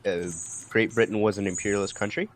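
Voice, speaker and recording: A man in a casual group debate, challenging others in a methodical, halting way on a noisy recording. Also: lowish voice, steady tone.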